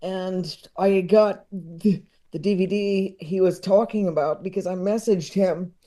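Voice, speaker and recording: A man's voice: high voice, fairly even intonation, measured pace, warm tone. A man in his thirties chatting as a podcast guest, rambling and repeating words as he gathers his thoughts. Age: 30s. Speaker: podcast guest, comedy expert. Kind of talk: casual chat.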